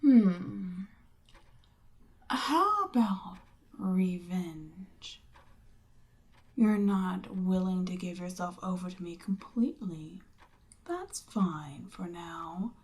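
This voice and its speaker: A woman voicing a seductive, domineering Demon Queen in an ASMR roleplay, breathy and often near a whisper, with a smug, coaxing, commanding manner. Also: lowish voice, expressive, very slow, long pauses, a little mellow, full character voice. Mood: persuasive, coaxing.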